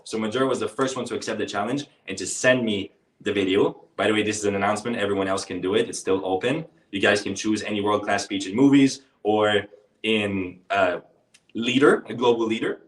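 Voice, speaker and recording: A man's voice: lowish voice, fairly bright tone, reverberant, distant mic, low-quality recording. A man hosting a live speaking workshop who calls everyone brother, speaking English as a non-native. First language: not English. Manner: calls participants brother and bro, upbeat coaching. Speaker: workshop host and speaking coach. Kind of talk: live online workshop, casual and encouraging.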